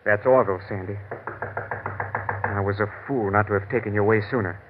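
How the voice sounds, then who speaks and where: An actor playing a stern, protective rancher husband in an old radio drama, firm and urgent. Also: male voice, fairly low pitch, fairly even intonation, brisk, over music, natural voice. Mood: alarmed. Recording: noisy, tinny vintage radio recording.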